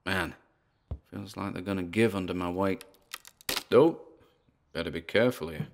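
A fairly low-pitched man's voice , an actor's performance, plays an obsessive, jittery paranormal investigator. He narrates what he finds in halting mutters, breaking into exclamations, in the manner of a found-footage horror audio drama. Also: gravelly, slight background noise. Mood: tense, wary. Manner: muttered running commentary with halting pauses and exclamations. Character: nervous, obsessive male paranormal investigator recording himself.

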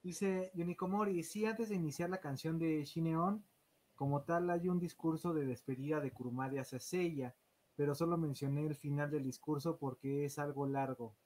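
A man's voice: on the high side, steady tone, normal speed, darkish tone. A man with a Mexican accent, casually hosting a live podcast and reading out comments from viewers. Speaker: podcast host.

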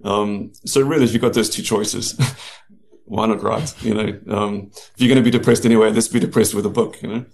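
Gravelly low-voiced man. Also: fairly even intonation, brisk, a little mellow. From New Zealand and South Africa. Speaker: guest, novelist. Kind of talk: casual interview storytelling.

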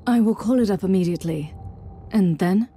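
A woman's voice: mid-range voice, brisk, noisy background, lightly acted. A woman playing a stern, devout warrior inquisitor in a video game, terse and commanding. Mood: resolute, wary.

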